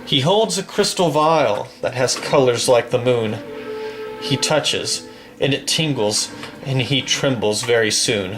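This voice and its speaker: A man reciting a long poem from memory in a slow, declamatory manner, swelling on the refrains, then chatting casually about a game. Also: medium pitch, poor audio. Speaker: poetry reader and gamer.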